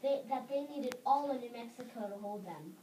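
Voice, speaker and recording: A woman's voice: on the high side, lively intonation, normal speed, warm tone. A woman reading a scripted story aloud as one of a readers theater group. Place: echoey room. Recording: echoey room, distant mic, faint noise.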